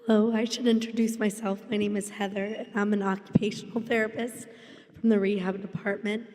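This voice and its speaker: A woman with a slightly scratchy voice, an occupational therapist speaking briskly and encouragingly at a symposium panel. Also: mid-range voice, little pitch movement, darkish tone. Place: conference hall. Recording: long reverb in a hall.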